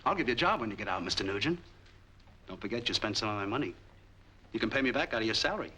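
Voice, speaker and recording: Shrewd street peddler, 1940s noir film, lively pitch, sharp tone, faint soundtrack noise. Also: male voice, rapid-fire, low-quality recording. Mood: genial, generous. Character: shrewd, forgiving street peddler with a lame leg, long in hiding.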